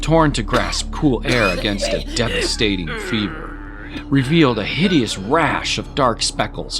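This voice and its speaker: A male narrator delivers a scripted dramatic story. His voice is low, and quiet music plays beneath the storytelling.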